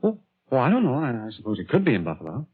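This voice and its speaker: An actor playing a flustered, evasive office artist with a husky, stammering delivery in a 1940s radio drama. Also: male voice, medium pitch, huge pitch swings, racing. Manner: hesitant, stammering. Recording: tinny 1940s radio recording.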